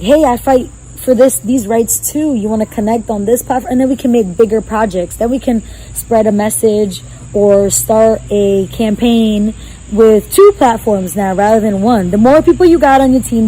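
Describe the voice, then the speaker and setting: A young woman guest on a podcast, talking loudly and casually with lots of slang and "you know what I'm saying.". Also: a little high, normal speed, breathy edge, rough recording. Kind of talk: casual conversational interview. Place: outdoor gazebo with crickets.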